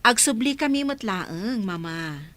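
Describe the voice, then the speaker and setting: Firm, mid-pitched grown daughter acted. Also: female voice, expressive, unhurried, fairly bright tone. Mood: reassuring. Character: firm, reassuring grown daughter and mother of children. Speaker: actor in a radio comedy drama. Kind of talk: Ilocano radio drama acting.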